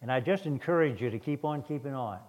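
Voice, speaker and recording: An older man preaches in a hoarse voice, often stumbling and restarting mid-sentence. His delivery is plain and unhurried as he reads scripture and comments on it. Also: mid-range voice, lively intonation, a little mellow, lo-fi sound. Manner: halting, many restarts and fillers. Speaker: guest preacher. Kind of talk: sermon.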